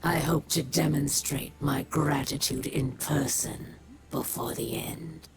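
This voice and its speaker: An actress voicing a proud, bitter imprisoned alien warrior in a video game, speaking in measured, formal phrases that often sink toward a whisper. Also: female voice, rumbling low, full character voice. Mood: grudging resolve. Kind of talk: dramatic video game dialogue. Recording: loud ambient noise behind.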